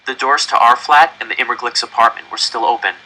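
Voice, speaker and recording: A man's voice: mid-range voice, fairly even intonation, quick pace, sharp tone, poor audio. A man reading a first-person story aloud with dramatic, tense delivery. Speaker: audiobook reader.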